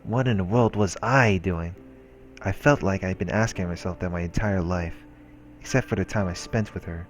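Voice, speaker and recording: Deep guy reading a visual novel out loud, quiet and solemn, soft music behind. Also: normal speed. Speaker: let's play host reading a visual novel aloud. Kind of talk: scripted narration read aloud. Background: game music.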